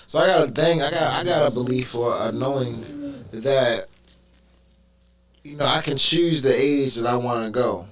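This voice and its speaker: A man co-hosting a talk show in casual chat, relaxed and loose, cursing now and then. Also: mid-range voice, normal speed.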